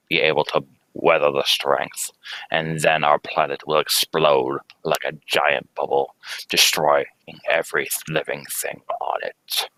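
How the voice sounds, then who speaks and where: A man playing a solemn, portentous alien scientist in an old-time radio drama, speaking in a measured way. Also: rumbling low, sharp tone, no room sound, full character voice, low-quality recording. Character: grave alien scientist warning of doom.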